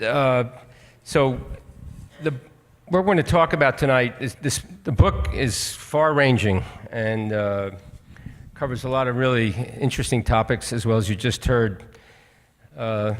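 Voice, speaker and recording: An older American man moderates a live stage interview, speaking earnestly in a halting, rambling way. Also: mid-range voice. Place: auditorium stage. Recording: hall reverb on a stage.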